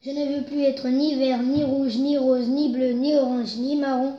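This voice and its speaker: A woman's voice: high-pitched, little pitch movement, unhurried. A woman voicing a big grumpy male wolf who is unhappy with his color, reading a storybook aloud. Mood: dismayed. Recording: roomy, slightly dull recording.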